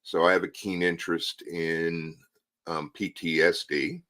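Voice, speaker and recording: A man in his sixties, a retired psychologist guest on a live talk show, speaking haltingly as he searches for words. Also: deep voice, flat delivery, pushed and bright.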